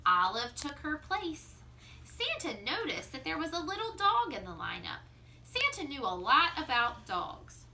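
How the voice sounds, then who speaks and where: A woman reading a children's story aloud like a teacher, expressively, drawing out words and dropping into hushed asides. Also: high voice, huge pitch swings, unhurried, slight background noise.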